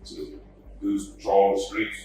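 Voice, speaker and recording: Angry relative addressing a defendant, slangy and rambling, lowish voice, distant echoey courtroom with music. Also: male voice, monotone, normal speed, warm tone. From Palestine. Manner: heated and rambling, street slang, bursts up in pitch. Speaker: family member giving a court statement. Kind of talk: emotional victim impact statement in court.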